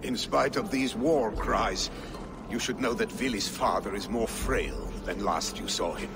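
An older actor playing a grave, loyal Norse messenger in a video game, with a solemn, deliberate manner. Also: male voice, fairly low pitch. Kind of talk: video game cutscene acting. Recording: music behind the dialogue.

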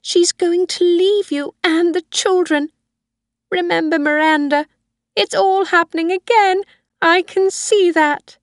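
A woman voicing a frail, obsessive old grandmother who talks in a shrill, pleading way, read as an audiobook. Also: fairly even intonation, slow, no room sound, clean studio sound, full character voice. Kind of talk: audiobook reading.

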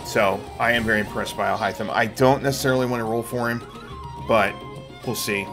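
A man's voice: fairly low pitch, steady tone, brisk. Middle-aged man streaming over game music. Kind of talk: casual live gameplay commentary.

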